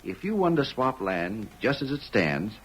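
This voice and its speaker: A man's voice: lowish voice, very animated, moderate pace, fairly bright tone, breathy edge, heavily acted, low-quality recording. An actor playing a shrewd male Old West homesteader in rural American country speech, in 1940s radio style on an old recording. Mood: shrewd, bargaining.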